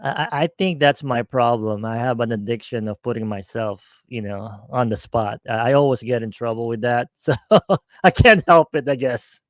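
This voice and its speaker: A man's voice: mid-range voice, normal speed, no room sound, clean studio sound. A man co-hosting a casual chat show, joking around, laughing often and tripping over his words. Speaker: podcast co-host.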